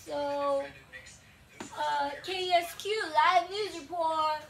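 A young woman rants at her phone in a small cluttered bedroom, swearing and confronting, pacing around as she speaks. Her voice is high and her delivery slow. The phone sits far from her and picks up clear background noise. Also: fairly bright tone. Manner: profane, confrontational, restless, moves around while talking. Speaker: vlogger. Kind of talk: angry profane rant to camera. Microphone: phone camera, often far away.

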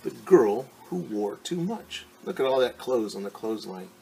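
A man's voice: mid-range voice, big pitch swings, moderate pace, creaky, warm tone, a little hiss or hum. A middle-aged man reading a picture book to children as a teacher, stopping to explain things patiently in simple words. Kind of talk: storytime read-aloud with simple explanations for children. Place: living room at home.

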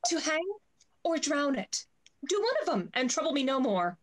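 A woman acts a jilted lady of high society in an old comedy of manners. Her voice is high and swings widely in pitch. She is proud, bitter and full of theatrical scorn. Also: very animated, normal speed, noisy background. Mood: irritated, curt. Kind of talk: classical stage comedy acting. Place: indoors at home, on a video call.

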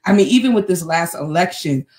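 An animated woman with a slightly lower voice, speaking on a video call. She throws out punchy rhetorical questions and laughs easily between points. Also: a little fast. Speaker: panelist. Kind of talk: panel discussion on video call.

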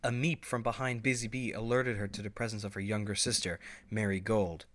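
Bright-voiced man narrating a story. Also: fairly low pitch, fairly even intonation, brisk.

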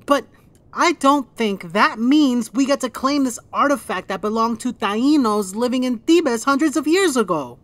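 A woman's voice: a little high, lively intonation, normal speed, no echo, lightly acted. A woman acting a thoughtful, curious young girl in an audio drama. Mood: hesitant.

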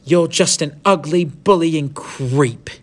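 Storybook schoolgirl, done by a male narrator: scornful, unafraid of bullies, raised pitch, biting. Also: slow. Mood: contemptuous. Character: defiant, contemptuous schoolgirl standing up to bullies.

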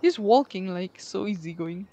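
A woman's voice: medium pitch, lively intonation, moderate pace, warm tone. A woman giving casual gameplay commentary, thinking aloud in short reactions. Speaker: gaming video creator.

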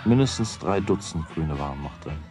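A middle-aged man narrating a children's radio play as a calm storyteller. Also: sits low, moderate pace, over music. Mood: calm narration.

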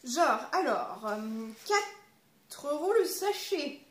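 A woman's voice: high-pitched, sing-song, extremely slow. A woman in her twenties with a French accent from France, chatting informally and reading aloud as a vlogger. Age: 20s. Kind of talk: casual chat with reading aloud. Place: small living room at home. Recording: roomy echo, clear background noise.

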